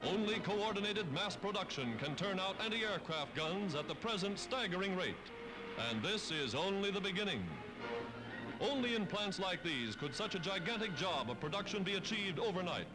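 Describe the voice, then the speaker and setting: A man's voice: high-pitched, lively intonation, moderate pace, low-quality recording. An American man narrating a scripted 1940s newsreel in a dramatic, emphatic, declamatory manner, on a vintage film soundtrack. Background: orchestral music.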